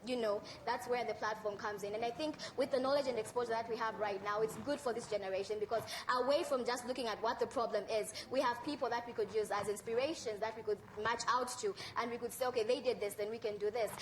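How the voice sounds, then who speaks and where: A woman's voice: a little high, quick pace, hardly any pauses, low-quality recording. A young woman with a Zambian accent, speaking earnestly and articulately as an activist on a discussion panel, with noticeable background noise. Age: young adult. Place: live conference panel.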